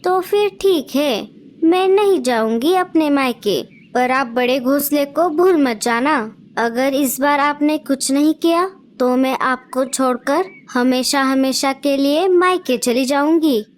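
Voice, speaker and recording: A woman's voice: high voice, takes it slowly. A woman voicing a nagging young female sparrow wife in a children's cartoon, with a whiny, complaining manner. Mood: relieved. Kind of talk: cartoon voice acting.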